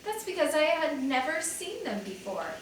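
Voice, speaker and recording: A woman's voice: high voice, very animated, normal speed, a little hiss or hum, pitched above the performer's own voice. A woman voicing a polite young girl who explains herself earnestly in a storybook read aloud. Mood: confessing. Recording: echoey room, mic at a distance.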